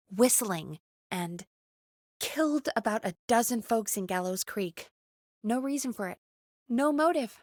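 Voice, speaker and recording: A woman's voice: a little high, dry recording, clean studio sound, close to an everyday voice. A woman playing a frank, anxious but caring radio producer in a video game, talking in an informal, emphatic, exasperated way. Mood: hushed, grave. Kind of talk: conversational video game voice acting.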